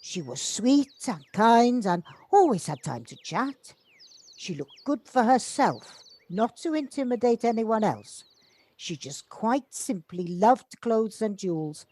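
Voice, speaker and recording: Casting: actress as genteel, chatty neighbour woman, kindly but a little reserved, lively intonation, home-recorded audio play. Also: fairly high pitch, slow pace, full character voice. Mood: fond, admiring. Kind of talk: amateur audio drama acting. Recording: home-recorded on a personal device.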